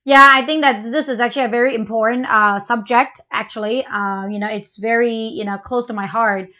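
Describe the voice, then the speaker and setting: Woman, slightly high voice. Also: steady tone, fairly quick, sharp tone. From Malaysia. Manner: earnest, personal storytelling. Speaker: co-host, parent. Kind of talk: casual chat.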